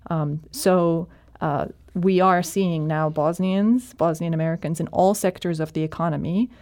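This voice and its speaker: A woman speaking as a guest in an interview, explaining in a measured way with frequent "um"s. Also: on the low side, fairly even intonation, a little mellow. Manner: measured, explanatory, many fillers. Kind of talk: radio interview.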